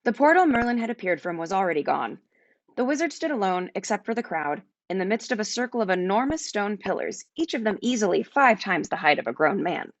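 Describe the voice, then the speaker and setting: A woman narrating a children's fantasy book aloud as its author, in an expressive storytelling style. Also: mid-range voice, a little fast, dry recording. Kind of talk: animated children's audiobook narration.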